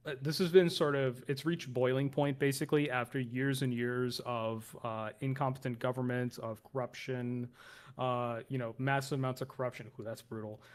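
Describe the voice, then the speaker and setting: A man's voice: medium pitch, steady tone, normal speed. A man from northern India talks through politics in a middle-range voice. He is a guest commentator on a livestream, explaining things casually. He often restates and corrects himself.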